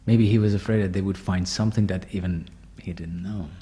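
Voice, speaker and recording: A man's voice: low-pitched, fairly even intonation, a little fast, warm tone. A man hosting a casual interview, asking loose questions full of fillers and restarts. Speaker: host.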